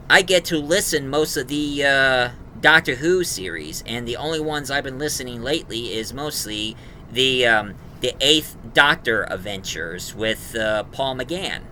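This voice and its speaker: A man giving an informal, emphatic review of an audio drama, recapping it in a halting way. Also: mid-range voice, animated, bright, forceful tone, a little hiss or hum.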